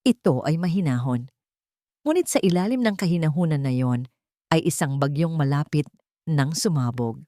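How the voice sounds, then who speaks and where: A woman reading a dramatic scripted story as narrator, with measured, suspenseful delivery. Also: sits low, expressive, dark tone, no echo, clean studio sound.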